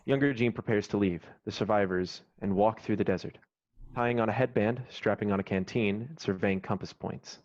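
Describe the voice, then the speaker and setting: A man's voice: on the low side, moderate pace, darkish tone. Male screenplay narrator, slightly dull sound. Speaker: stage directions narrator. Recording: slightly dull, lo-fi online recording.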